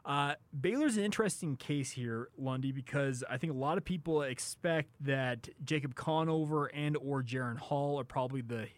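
A man's voice: mid-range voice, moderate pace, hardly any pauses, no echo. A man with an American accent hosting a sports show with conversational commentary.

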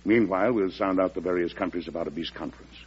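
A man's voice: low voice, fast talker, darkish tone, slightly rough. An American man acting an earnest, weary President pleading for peace in an old-time radio drama, speaking with oratorical emphasis. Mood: earnest, determined. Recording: dull, lo-fi vintage radio recording.

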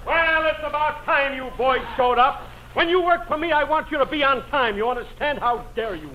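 Muffled old radio broadcast: fussy, pompous male boss, very high, scolding, flustered. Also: moderate pace, slight background noise. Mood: pompous, indignant. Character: blustering, pompous, exasperated restaurant boss. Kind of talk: 1940s radio comedy acting.

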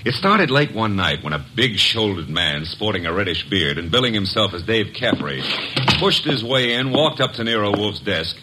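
An actor playing a brash young assistant detective who also narrates, in a breezy 1940s radio drama style. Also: male voice, fairly low pitch, normal speed, very noisy. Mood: narrating. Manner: breezy, lively.

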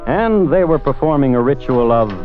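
A man hosting and narrating a scripted old-time radio true-crime show in a deliberate, dry, literary way. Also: mid-range voice, animated. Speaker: host and narrator. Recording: muffled vintage radio recording with music.

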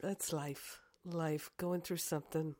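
A woman with a hoarse, croaky voice from a cold, leading a slow, earnest guided prayer full of affirmations. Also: low voice, fairly even intonation, dry recording, clean studio sound. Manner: soft, slow and earnest, repeating "grateful and thankful". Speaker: spiritual teacher and podcast host.

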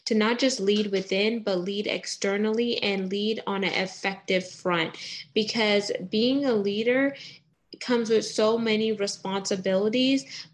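Reflective woman hosting an interview. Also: mid-range voice. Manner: measured, motivational, reflective. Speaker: host, interviewer.

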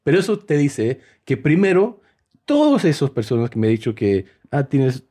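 A man in his forties, an English native speaker, talks with a mixed Peruvian, Spanish and Mexican-American Spanish accent. He jokes and tells stories with animation in a mid-pitched voice. The tone is warm and mellow. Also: normal speed, breathy edge. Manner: joking, animated storytelling. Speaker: podcast host. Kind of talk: casual chat.

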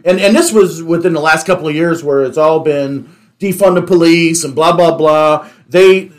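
Higher-pitched man hosting a panel talk. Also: normal speed. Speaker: talk show host. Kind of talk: panel discussion, casual.

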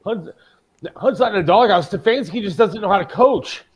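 Casual man with a slightly high voice. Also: animated, normal speed, slightly rough. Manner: informal, salty-tongued. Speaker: talk show host. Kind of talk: casual chat and banter.

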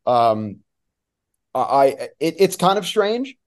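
A man's voice: fairly high pitch, big pitch swings, slow pace. A man talking as a sports announcer guest, giving emphatic, opinionated analysis in a conversational way.

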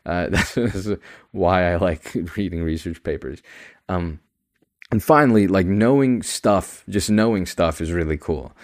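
Very deep-voiced young man, casual podcaster. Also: a little slow, darkish tone. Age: young adult. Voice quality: deep, forward-projecting voice. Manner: matter-of-fact, pretentious-sounding, emphatic. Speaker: podcast host. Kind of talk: casual solo podcast monologue.

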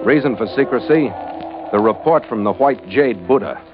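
A muffled vintage radio recording with music playing. A male actor plays a tough ship's master in 1940s radio drama style, middling in pitch. He is wary and hard-boiled, giving his account in short, clipped phrases. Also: expressive, moderate pace, close to an everyday voice. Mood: terse, businesslike. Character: tough, suspicious male sea captain, gruff and wary. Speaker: lead actor and narrator. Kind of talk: 1940s radio acting, first-person narration of a ship's log.

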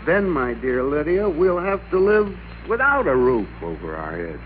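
On a muffled, humming old radio recording, a middle-aged American underdog who fancies himself the boss. His highish voice swings widely up and down, theatrical and emphatic, as in classic radio drama. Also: very animated, moderate pace, a little hiss or hum. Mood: smug. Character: meek middle-aged office worker turned blustering, bossy master.

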